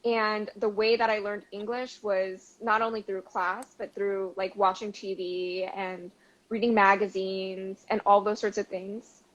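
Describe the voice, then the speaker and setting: A woman's voice: medium pitch, little pitch movement, unhurried, fairly bright tone, dull audio. A woman from Arizona whose first language is Mandarin, talking as a guest in a casual group chat in a reflective, hesitant way with many fillers. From Arizona, USA.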